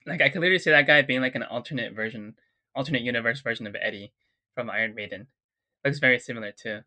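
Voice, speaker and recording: A man's voice: medium pitch, quick pace, pushed and bright. A man talking casually as a guitarist reacting to music, sounding nasal and congested from being sick, eager and chatty about riffs. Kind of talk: casual chat.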